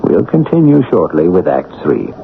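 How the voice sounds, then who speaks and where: A man hosting a 1970s radio mystery show, narrating formally with measured, dramatic pauses. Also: deep voice, sing-song, gravelly. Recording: tinny, hissy old radio broadcast.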